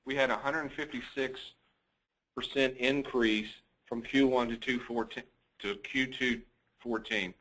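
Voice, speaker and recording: A man's voice: medium pitch, steady tone, unhurried, fairly bright tone, rough recording. A man speaking as a chief financial officer, giving a businesslike, matter-of-fact financial report on a conference call.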